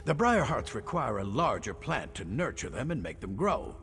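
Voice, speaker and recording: An actor playing a nervous, scholarly alchemist in a video game, explaining things in an articulate, earnest and theatrical way. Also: male voice, mid-range voice, very animated, normal speed, fairly bright tone. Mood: fascinated, grave. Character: nervous, scholarly male alchemist obsessed with enemy magic.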